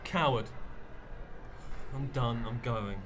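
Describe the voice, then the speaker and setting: A man's voice: mid-range voice, slow pace, noisy background, close to an everyday voice. A man in a radio drama playing a bitter, unforgiving, wronged man with a curt, accusing manner. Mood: bitter, dismissive.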